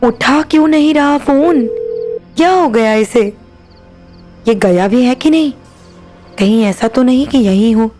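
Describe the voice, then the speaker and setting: A woman's voice: high-pitched, measured pace, long pauses, darkish tone, faint music behind. A woman playing an anxious young wife who talks to herself in worried, pleading tones. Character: young married woman, anxious about her lover.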